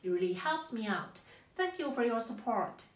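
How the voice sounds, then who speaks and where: A young woman presenting a scripted technical explainer in careful, halting English. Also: fairly high pitch, animated, very noisy. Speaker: product presenter. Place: small plain indoor room, seated. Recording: echoey room.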